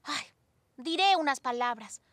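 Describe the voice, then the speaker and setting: A woman voicing a plucky, dramatic schoolgirl in an animated children's series, expressive and theatrical. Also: high voice, a little slow, slight background noise. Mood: sentimental. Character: plucky, dramatic, squeamish cartoon schoolgirl adventurer. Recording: music bed under the dialogue.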